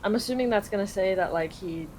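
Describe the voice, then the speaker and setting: A woman's voice: mid-range voice, fast talker. A young adult woman from Canada casually translating a game live, reading lines aloud and paraphrasing informally. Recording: clearly noisy home recording.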